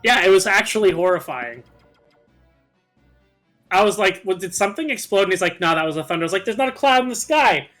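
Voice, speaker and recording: Guy w a pretty high voice, chatty gaming banter, faint music behind him. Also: lively intonation, fairly quick, long pauses, fairly bright tone. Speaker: gaming video co-commentator. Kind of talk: casual banter over a game session. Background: game music.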